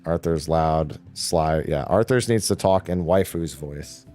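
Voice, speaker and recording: A man's voice: extremely low pitch, measured pace, dark tone, soft background music. A man hosting a casual gaming podcast stream, laughing often and swearing casually.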